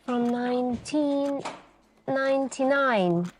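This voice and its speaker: A woman doing an ASMR roleplay as a suspicious female detective, questioning in a deliberate, pointed way with many pauses. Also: high-pitched, a little hiss or hum. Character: calm, suspicious, probing female police detective. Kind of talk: ASMR interrogation roleplay, slow deliberate delivery.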